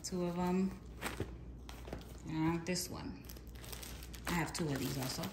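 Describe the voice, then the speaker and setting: A woman's voice: lowish voice, takes it slowly, heavy background noise. Chatty woman filming her home, echoey. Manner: chatty, rambling, self-correcting. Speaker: home vlogger. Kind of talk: casual clean-with-me narration. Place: large bright home, kitchen and playroom. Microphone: handheld phone camera.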